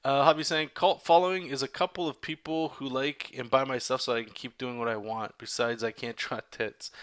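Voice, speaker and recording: A man's voice: mid-range voice, steady tone, moderate pace, fairly bright tone, no echo. Canadian guy streaming while he inks, talks loose and candid, lots of "like", dead dry sound. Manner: rambling, candid advice, frequent restarts and "like". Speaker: artist streaming a drawing session. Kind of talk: casual livestream chat while inking.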